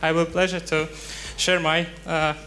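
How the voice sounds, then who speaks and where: A man introducing himself as a company co-founder in halting English. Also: a little high, level tone, sharp tone, slight background noise. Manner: halting, non-fluent English. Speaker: crowd-investing company co-founder.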